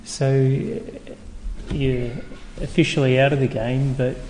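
A man interviewing a former coach, asking halting questions full of fillers. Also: medium pitch, flat delivery, a little mellow. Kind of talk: oral history interview questions. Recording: long reverb, faint background noise.

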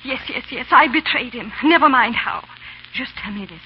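A woman's voice: high voice, lively intonation, normal speed. A woman playing an anguished, determined young noblewoman in a theatrical, urgent 1930s radio drama style. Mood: desperate, resolute. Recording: dull, faintly noisy 1930s radio recording.

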